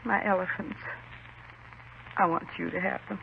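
A woman's voice: on the low side, fairly even intonation, moderate pace, gravelly. Fragile fixated housewife losing her mind, 50s radio play, dull recording w some noise. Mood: resigned, dazed. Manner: fretful, fixated, repeats herself. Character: distraught, mentally unstable housewife confessing to killing her husband. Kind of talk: 1950s radio drama acting. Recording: muffled, noisy 1950s radio recording.

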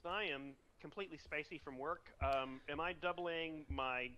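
A man's voice: a little high, moderate pace, bright, forceful tone, a little hiss or hum. A man playing a tabletop game over a video call, talking hesitantly with many ums. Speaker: player. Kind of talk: casual game chat.

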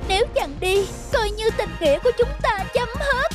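A woman's voice: very high voice, expressive, normal speed, over music. A woman voicing a clingy, petulant young wife who pleads and sulks in a cartoon fairy tale. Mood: pleading, hurt. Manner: pleading, sulky.

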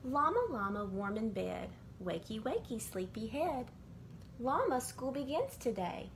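A middle-aged woman reading a rhyming children's picture book aloud in a measured, sing-song way, as bedtime stories from a bedroom. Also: a little high, very animated. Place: small indoor bedroom. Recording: clearly noisy amateur home recording.

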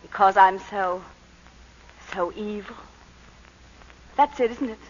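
A woman's voice: mid-range voice, slow, in a large hall, slight background noise, natural voice. An actress playing a poised, cool woman accused of a crime, in 1940s radio acting style. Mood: guarded. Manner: poised, cool, at times coy. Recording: dull old radio broadcast with music.

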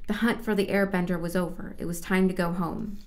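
A woman's voice: on the low side, steady tone, a little fast. A woman working as an amateur narrator, reading story takes and asking casual questions during a session. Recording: clearly noisy recording with clicks.

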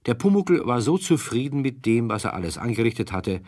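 Male narrator for children's audio drama: husky, fairly low, animated storytelling. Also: fairly quick.

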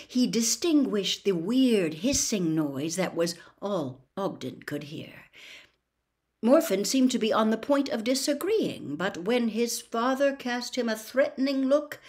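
A woman's voice: medium pitch, a little mellow. A woman in her sixties narrating a storybook expressively, reading aloud at home. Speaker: audiobook reader. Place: small home room with bookshelves, indoors.

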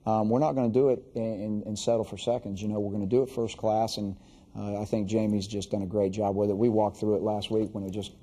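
A man's voice: fairly low pitch, level tone, fast, dark tone, slightly rough. A man, a coach, talking in a broadcast studio conversation in a rambling way full of fillers.

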